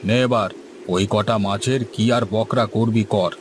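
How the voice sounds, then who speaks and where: Man acting weary old village fisherman, lowish voice. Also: steady tone, a little slow, a little hiss or hum, close to an everyday voice. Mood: resigned. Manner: rustic, colloquial speech. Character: weary, resigned older village boatman fisherman. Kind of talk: Bengali cartoon story dialogue.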